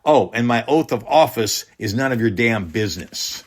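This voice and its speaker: An actor playing a boastful, petulant leader on trial in a satirical radio drama, bragging and rambling dismissively. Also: male voice, medium pitch, lively intonation, moderate pace, natural voice. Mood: smug, defiant.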